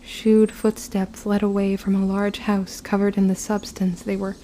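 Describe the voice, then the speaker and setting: Woman as a mellow, brooding young witch. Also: medium pitch, flat delivery, a little hiss or hum, natural voice. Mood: brooding, reflective. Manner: measured, formal old-fashioned phrasing. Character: guarded, stubborn, brooding young witch narrating her own story. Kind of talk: scripted first-person audio drama narration.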